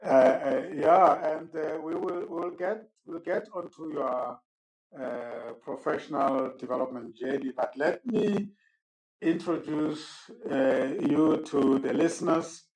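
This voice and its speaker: A man's voice: a little high, darkish tone, rough recording. Man moderating a podcast. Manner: measured, courteous, with frequent word repeats. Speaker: host and moderator. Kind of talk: formal podcast interview.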